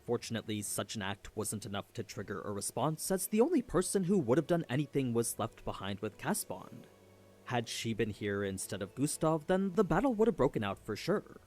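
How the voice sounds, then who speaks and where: A man's voice: medium pitch, big pitch swings, a little fast, darkish tone. A man reading a scripted story recap as a narrator.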